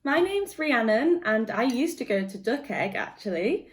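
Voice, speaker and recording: A woman guest reader reading a picture book aloud to young children in an expressive storytelling manner. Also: on the high side, unhurried.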